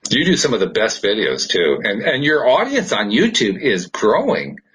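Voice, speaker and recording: Husky, low-voiced man hosting a podcast. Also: wildly swooping pitch, moderate pace, a bit bright, poor audio. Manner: upbeat, enthusiastic. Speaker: podcast host. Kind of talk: casual podcast conversation.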